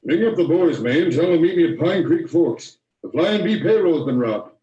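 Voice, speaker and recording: A commanding American sheriff in an old radio western, his pitch high and lively. He rallies men in a muffled, old recording. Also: a little slow, roomy sound, distant mic. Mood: urgent. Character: brisk commanding male western sheriff rallying a posse.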